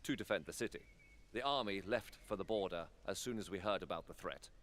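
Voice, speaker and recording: A man playing a worried lord of a fantasy council in a video game, measured, formal and guarded. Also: low voice, a bit bright, a little hiss or hum. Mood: guarded, weary.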